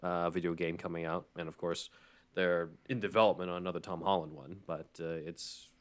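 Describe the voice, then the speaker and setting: A man in his thirties with a low-pitched voice. He talks in a casual, conversational way as a co-host on a podcast. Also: little pitch movement, fairly quick. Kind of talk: casual chat.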